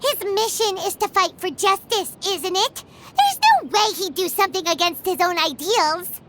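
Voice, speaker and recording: A woman voicing a tiny, excitable childlike fairy companion in a video game, squeaky and given to exclaiming. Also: normal speed, a little hiss or hum. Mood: puzzled, incredulous. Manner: exclamatory, speaks of self in third person.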